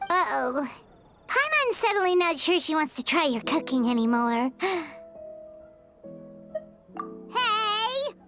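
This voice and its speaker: A woman voicing a small, excitable, childlike fairy companion in a video game, with a squeaky voice and exclamatory manner. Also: measured pace, music in the background, heavily acted. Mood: startled. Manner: refers to self in third person, exclamatory.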